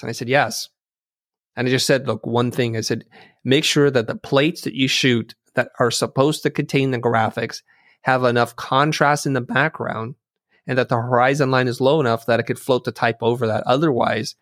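A man's voice: mid-range voice, a bit bright, no room sound, studio quality. A middle-aged man whose first language is Vietnamese, telling stories earnestly and thoughtfully as an interview guest, often restarting his sentences. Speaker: interview guest, designer and teacher. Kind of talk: reflective storytelling in conversation.

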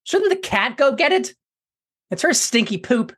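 A woman voicing an excitable, childish young male monkey in a children's cartoon, giddy and whiny. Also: high-pitched, measured pace, husky, exaggerated voice. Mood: cheeky. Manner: giddy, whiny, easily distracted.

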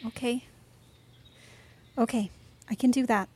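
Woman acting earnest young female drama character, higher pitch, careful and open, faint outdoor background sounds. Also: fairly even intonation, measured pace, darkish tone, slight background noise, close to an everyday voice. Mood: hesitant. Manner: sincere, careful, emotionally open. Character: earnest, fierce but contrite young woman, a witness. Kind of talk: naturalistic fiction podcast acting.

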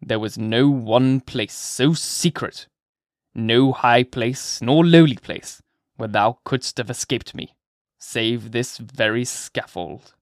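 A sinister, bitter old man, as a male narrator puts him on who drags his words out slowly and keeps them low and threatening. The sound is dry and close. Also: no echo. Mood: dark.

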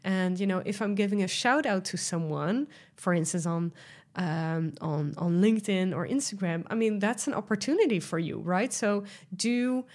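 A woman's voice: medium pitch, moderate pace, darkish tone. A woman from the Netherlands with a Dutch accent, hosting a solo podcast and talking through sales tips in a chatty, enthusiastic way with many fillers and restarts. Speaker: podcast host and sales coach.

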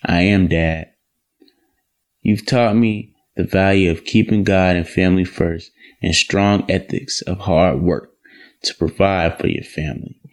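Man playing earnest young suitor, low gravelly voice. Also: fairly even intonation, measured pace. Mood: sincere, grateful. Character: earnest young man in love, respectful son. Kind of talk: audio drama acting.

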